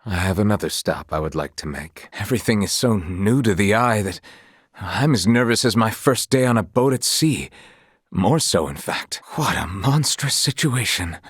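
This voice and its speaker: An actor playing an earnest, honorable young knight in a fantasy video game, speaking in formal, archaic, measured phrases. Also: male voice, lowish voice, animated, husky, no room sound, clean studio sound. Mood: composed. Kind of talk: dramatic video game voice acting.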